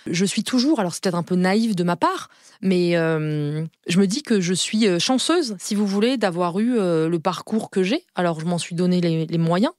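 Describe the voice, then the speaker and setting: A woman's voice: mid-range voice, darkish tone, dry recording, studio quality. A woman, a lawyer answering podcast interview questions in an earnest, frank way, often hesitating and repeating herself. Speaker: lawyer and law firm partner.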